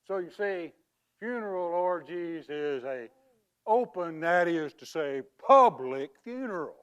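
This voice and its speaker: Pompous, slow, high-voiced pious swindler. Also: male voice, expressive, highs cut off. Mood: pompous explaining. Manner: pompous, theatrical, drawn-out oratory. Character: smarmy male con man posing as a pious grieving English uncle. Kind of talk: dramatic acting in a literary comedy.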